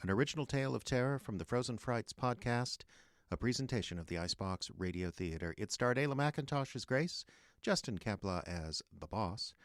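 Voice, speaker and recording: A man's voice: mid-range voice, lively intonation, brisk, no room sound, clean studio sound. A man reading a scripted credits announcement as the announcer of a radio drama.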